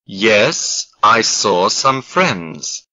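A man reads English drill sentences very slowly, pausing between each phrase. His voice is bright and sharp, and the recording sounds a little dull and lo-fi. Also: lowish voice, sing-song. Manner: slow, carefully spaced model sentences. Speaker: English lesson voice. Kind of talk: slow scripted language drill.

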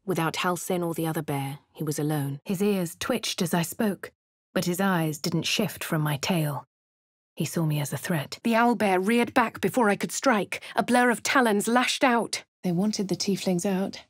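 A woman with a posh English accent speaking a game hero's lines and first-person narration in a measured way. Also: fairly low pitch, dry recording, studio quality. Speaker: player character voice. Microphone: studio mic.

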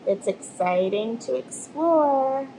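A woman, reading a picture book aloud to children in an animated storytelling manner. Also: sits high, slow pace, a little hiss or hum, low-quality recording. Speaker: storybook reader and host. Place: indoors in front of an aquarium tank.

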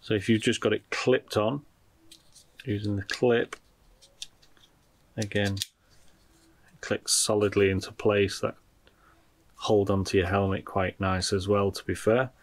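Deep, creaky-voiced man chatting through an unboxing. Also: steady tone, slow, pauses often. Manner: informal, thinking aloud. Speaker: product reviewer. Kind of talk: casual unboxing commentary.

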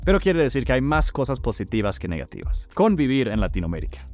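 A man explaining phrases to camera as a language teacher, clear and deliberate, repeating words slowly, in a small studio. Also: medium pitch, very animated, faint music behind.

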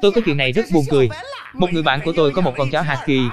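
A man voicing a chatty, excitable character in a dubbed cartoon. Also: a little high, rapid-fire, crowd noise, lightly acted. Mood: amused storytelling. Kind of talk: dubbed cartoon dialogue and storytelling. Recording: music under the voice. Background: music.

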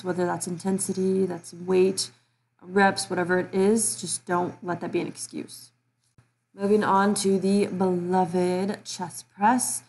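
Woman, twenties, relaxed dark tone, gravelly vocal fry, informal vlog chat, rambling explanations. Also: lowish voice. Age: 20s. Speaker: fitness vlogger. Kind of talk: casual vlog chat and workout voice-over.

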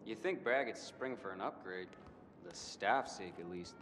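An actor playing a young American hospital orderly in a video game, breezy, cocky and full of slangy, wry patter. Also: male voice, lowish voice, normal speed, natural voice. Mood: wry, joking. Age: young adult. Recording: echoing hall reverb under loud music.

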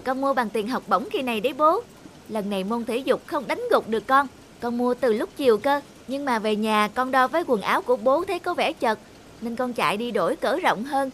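A woman voicing an earnest, polite and devoted schoolboy son in an animated moral tale. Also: fairly high pitch, a little fast, a little hiss or hum. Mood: earnest, loving. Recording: faint music behind the voice.

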